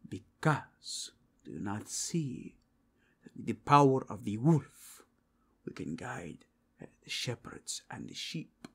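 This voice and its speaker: Man acting a solemn zealot inquisitor, slow and husky. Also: mid-range voice, big pitch swings, a bit bright, full character voice. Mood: fervent, solemn. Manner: formal, grave, archaic phrasing. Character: zealous male inquisitor, solemn, courteous, devoutly faithful. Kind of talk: fantasy ASMR roleplay.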